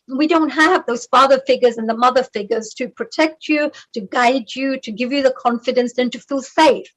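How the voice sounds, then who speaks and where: A woman hosting an online panel discussion, chatty and warm, often restarting her sentences. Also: high-pitched, poor audio. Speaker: host.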